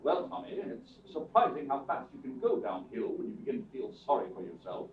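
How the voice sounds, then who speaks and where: An actor in a radio theatre show playing a courteous, dignified butler with a wry streak and measured, formal speech. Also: male voice, mid-range voice, very animated. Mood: reflective. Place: theatre stage before an audience. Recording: distant echoey stage, tinny, faint noise.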